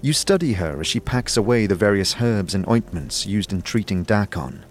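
A man with a fairly low voice narrates a scripted fantasy story. His delivery is lively and varied in pitch, with soft music underneath. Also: moderate pace.

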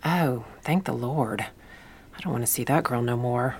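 An actress playing a blunt, superstitious folk healer with a Southern US accent in an old-time radio style drama. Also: female voice, extremely low pitch, normal speed, husky. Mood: scolding, exasperated. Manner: blunt, bossy, folksy.

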